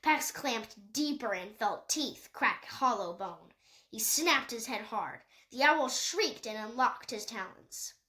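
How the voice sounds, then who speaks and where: A woman's voice: fairly high pitch, lively intonation, slow, pushed and bright. A woman reading a children's animal novel aloud as scripted storybook narration. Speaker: audiobook reader.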